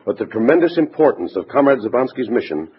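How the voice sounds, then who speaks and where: An actor playing a brisk, authoritative party boss in a 1950s radio drama, with a formal, businesslike manner. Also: male voice, medium pitch, very animated, lightly acted. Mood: stern, urgent. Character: brisk, authoritative male Communist party boss giving orders. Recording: muffled, faintly noisy vintage radio recording.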